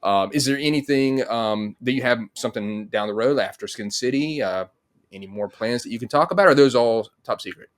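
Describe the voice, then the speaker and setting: A man's voice: mid-range voice, fairly quick. A middle-aged man hosting a casual interview about tabletop games on a video call, often saying "you know". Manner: peppers talk with "you know". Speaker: host of a tabletop game show. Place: indoor room at home, on a video call. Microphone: video call microphone.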